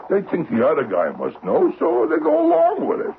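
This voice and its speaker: A cocky, folksy crook pitching a heist in slangy talk, his pitch swinging freely. It is old-time radio acting, heard through a thin, narrow broadcast sound with faint hiss. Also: wildly swooping pitch, fairly quick, vocal fry, exaggerated voice. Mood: brisk, assured. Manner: folksy, slangy, talks in tags like "see?" and "huh?". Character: cocky male safecracker scheming a vault heist.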